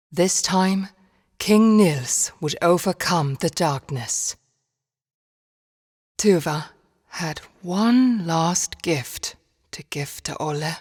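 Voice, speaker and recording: A woman narrating a dark fairy tale for a game in a measured, solemn storybook manner. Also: sits low. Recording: long reverberant hall echo.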